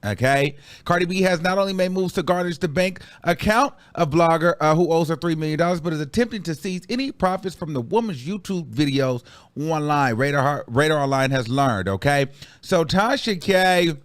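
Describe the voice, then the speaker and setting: A man's voice: a little high, animated, normal speed, clean tone, dry recording. Sassy chatty male gossip host. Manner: sassy and chatty, calls viewers girl and child; rambling with many ums and restarts. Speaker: host of a celebrity gossip show. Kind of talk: live-streamed gossip commentary.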